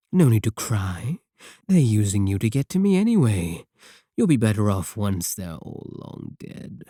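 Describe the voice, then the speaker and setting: A man playing a coolly sardonic villain in an audio roleplay, unhurried, condescending and softly threatening. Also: lowish voice, no room sound, clean studio sound. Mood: coaxing, controlling. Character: menacing, coolly sardonic male villain of an evil organization. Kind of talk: scripted ASMR audio roleplay.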